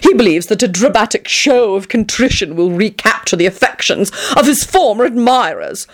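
A woman voicing a flamboyant, melodramatic man who gushes endearments and gossip in a shrill, theatrical storybook manner. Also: expressive, unhurried. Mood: haughty gossip. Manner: effusive, melodramatic, swooning endearments.

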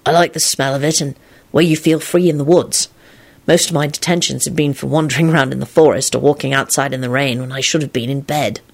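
A woman plays a gentle, earnest teenage wizard boy from a storybook. The voice sits low and has a brooding, thoughtful manner. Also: steady tone, fairly quick, vocal fry, full character voice. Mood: calm, wistful.

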